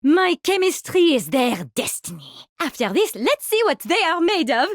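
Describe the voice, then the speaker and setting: A woman's voice: high-pitched, big pitch swings, moderate pace, dry recording, clean studio sound. A woman playing an eccentric, haughty mycologist with a French accent, theatrical and gleefully morbid, in video game voice lines. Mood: sly, confident. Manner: theatrical, savoring, condescending.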